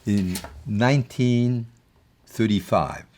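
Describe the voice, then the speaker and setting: A man's voice: lowish voice, expressive, slow pace, darkish tone, a little hiss or hum. A man, describing his family in a composed, orderly way. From Belgium. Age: 80s. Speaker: interviewee.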